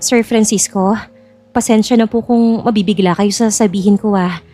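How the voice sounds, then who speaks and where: A woman playing a young bride-to-be in an audio drama, polite and composed, with a quiet cunning. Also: mid-range voice, steady tone, normal speed, faint music behind, lightly acted. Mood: earnest, grave.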